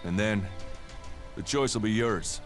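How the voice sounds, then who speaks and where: Man playing a low-voiced plain-spoken warrior guide. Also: measured pace, soft background music, close to an everyday voice. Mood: advising, cautionary. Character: friendly but watchful male warrior guide, plain-spoken. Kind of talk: video game acting.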